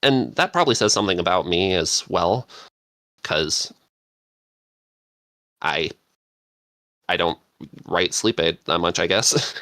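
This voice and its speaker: A man's voice: sits low, expressive, slow pace, long pauses, bright, forceful tone, dry recording. A man chatting casually as a panelist, rambling and searching for words. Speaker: podcast panelist and scriptwriter. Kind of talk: casual chat.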